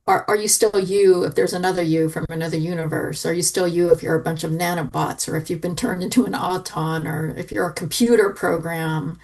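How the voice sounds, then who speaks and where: A woman's voice: fairly low pitch, fairly even intonation, brisk, creaky, few pauses, darkish tone, distant mic. A woman on a podcast panel talking casually and reflectively, thoughtfully circling back over her phrases.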